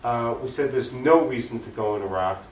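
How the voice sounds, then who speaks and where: A man's voice: medium pitch, fairly quick. An opinionated man making an unscripted political argument as an interview guest. He speaks emphatically and leans on rhetorical questions. The recording is roomy and echoey, picked up at a distance from the mic, with faint noise. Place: room recording for a cable access show.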